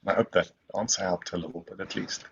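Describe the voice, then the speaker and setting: A man's voice: deep voice, fairly even intonation, quick pace, gravelly, a bit bright, husky. A man whose first language is Afrikaans, answering webinar questions in a rambling way with many restarts. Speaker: guest speaker. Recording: muffled lo-fi call audio.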